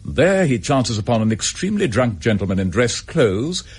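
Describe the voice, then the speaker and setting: Give the radio play a dutiful English chief inspector. His voice is husky and moves freely in pitch. He talks in a clipped, businesslike way, with a frustrated edge of duty. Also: very animated, normal speed. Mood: explaining, thoughtful. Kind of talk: radio drama dialogue.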